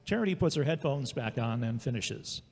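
A man's voice: mid-range voice, lively intonation, moderate pace, gravelly, a little mellow, slightly rough. A man reading scripted scene narration for an audio drama. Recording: echoey hall-like reverb.

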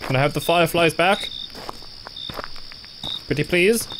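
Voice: mid-range voice, a little slow, noisy background. A jumpy, sarcastic gaming YouTuber commentating on a horror game playthrough and reading on-screen text aloud. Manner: jumpy, sarcastic, gasps and cries out when startled.

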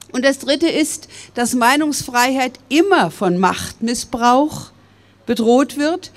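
An older woman from Berlin giving a measured, earnest public speech outdoors into a stand microphone. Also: a little high. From West Berlin, Germany. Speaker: public speaker. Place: outdoors by stone columns.

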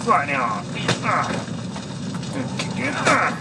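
A man's voice: a little high, huge pitch swings, slow pace. Jumpy guy narrating a horror game. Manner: jokey, yelps and swears at enemies. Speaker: gameplay commentator. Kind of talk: horror game let's play commentary. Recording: distant mic with loud game noise. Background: loud game sound.

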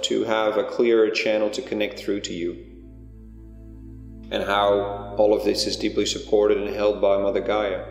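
A man leading a guided meditation, giving unhurried, hushed instructions with pauses between phrases. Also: on the low side, vocal fry. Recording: long echoing reverb, music underneath. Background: music.

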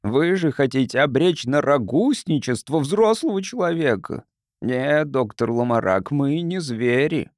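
A man's voice: medium pitch, animated, measured pace, no echo, studio quality, close to an everyday voice. A man voicing a stern, indignant male chief councillor of a space colony in an audiobook. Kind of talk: audiobook character dialogue.

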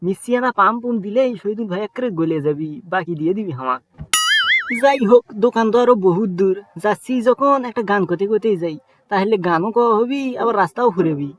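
A broke young cartoon trickster, played by a man, who is always scheming. He speaks with a Bogura accent in a high, cheeky voice. His banter is full of teasing. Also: expressive, fast talker, a little hiss or hum. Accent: Bogura dialect of Bangla. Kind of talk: cartoon comedy voice acting.

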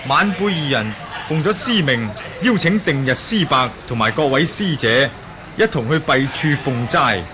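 An actor playing a courteous martial-arts envoy in a Hong Kong Cantonese radio drama, ceremonious and obliging. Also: male voice, fairly high pitch, animated, normal speed, natural voice. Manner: ceremonious, polite. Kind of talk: 1980 radio drama acting. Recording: noisy old radio drama recording.

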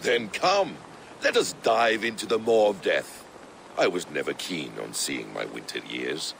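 Grave, low-voiced scholar-warrior. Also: male voice, big pitch swings, gravelly, noisy background, exaggerated voice. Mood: wry, grave. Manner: measured, eloquent, theatrical. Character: worldly, thoughtful Middle Eastern scholar-warrior, grave and poetic. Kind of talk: dramatic video game acting.

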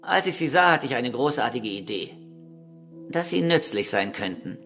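An actor playing a cynical killer under questioning in a radio crime drama, smug, condescending and calculating. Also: male voice, mid-range voice, expressive, normal speed, fairly bright tone, soft background music.

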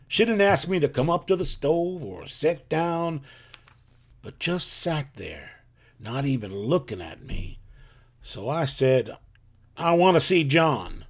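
A man playing a plain-spoken rural farmer in a live audio drama, telling his story in a folksy, halting way. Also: fairly high pitch, exaggerated voice.

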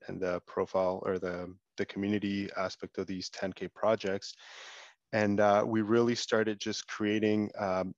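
A man speaks as a panelist in a measured, even way, pausing on "um" as he goes. His voice sits a little low and barely moves in pitch. It comes through dry, dull, lo-fi call audio. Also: no echo. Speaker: project co-founder, panelist. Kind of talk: casual panel talk. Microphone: remote call audio.

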